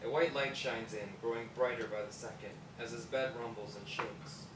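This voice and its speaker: A man reads screen directions as narrator at a table read. His voice is mid-pitched. It sounds distant and echoey, with loud noise around it. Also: fairly even intonation, moderate pace. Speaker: narrator reading stage directions.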